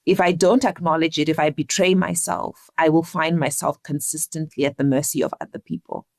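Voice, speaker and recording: Interview guest: woman, slightly low pitch, earnest and deliberate, dry sound. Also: steady tone, darkish tone, dry recording. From Zimbabwe. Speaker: interview guest, leadership coach. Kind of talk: reflective conversational interview.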